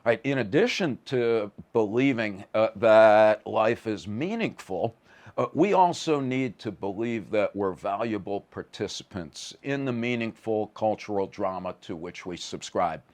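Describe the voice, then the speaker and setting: A man's voice: lowish voice, animated, unhurried, a little mellow. A man giving a conversational lecture in a wry, vivid, emphatic manner, often stammering on the starts of words.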